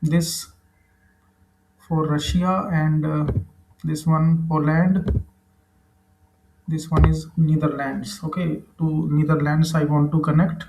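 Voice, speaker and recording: A man with an Indian accent narrating a screen tutorial in a halting, repetitive, explanatory way. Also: fairly high pitch, level tone, background noise. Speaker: presenter. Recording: echoey room.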